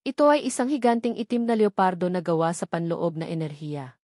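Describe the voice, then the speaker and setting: A woman's voice: lowish voice, fairly quick, dark tone, dry recording, studio quality. A woman reading scripted story narration in the manner of an audiobook. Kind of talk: scripted audiobook-style narration of a web novel.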